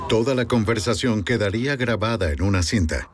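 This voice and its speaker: A man giving measured scripted narration for a crime documentary, recorded as a voice-over. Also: lowish voice, animated, soft background music.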